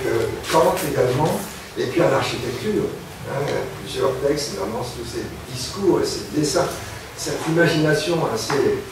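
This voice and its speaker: An older man giving an academic lecture, pausing often and filling gaps with hesitation sounds. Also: medium pitch. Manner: hesitant, many pauses and filler sounds. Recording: echoey hall, distant mic, background noise.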